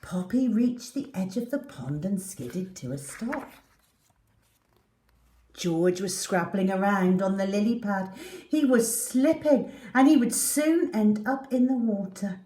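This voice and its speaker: A woman narrates a children's storybook with animated drama. Her pace is slow and measured. The room around her voice is audibly echoey. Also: medium pitch, recorded from a distance.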